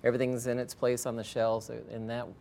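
A man's voice: medium pitch, completely flat delivery, brisk, vocal fry, mellow, slight background noise. A man, a school educator, answering interview questions in a plainspoken way, repeating phrases for emphasis.

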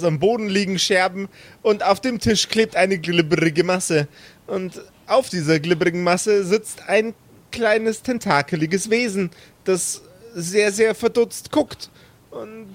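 A man improvising narration as the game master of a tabletop role-playing game, in a playful way. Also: high-pitched. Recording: music bed behind the voice. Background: background music.

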